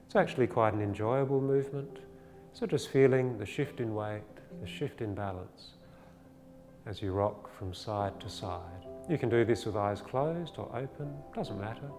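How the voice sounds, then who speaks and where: Low-voiced man guiding meditation in long reverb. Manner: gentle, soothing, unhurried instruction with pauses. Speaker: meditation teacher. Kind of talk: guided body scan meditation. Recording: long reverb, faint music behind.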